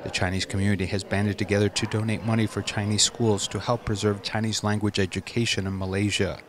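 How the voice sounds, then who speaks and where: A man reading a scripted news voice-over in a measured, even way. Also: lowish voice, background noise. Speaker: news narrator.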